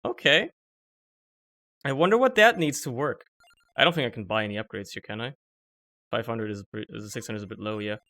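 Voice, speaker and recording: A man's voice: mid-range voice, fairly quick, pauses often, sharp tone. A young man with German as his first language, streaming a video game and rambling through casual, joking commentary that swears often, recorded at home on a desk microphone.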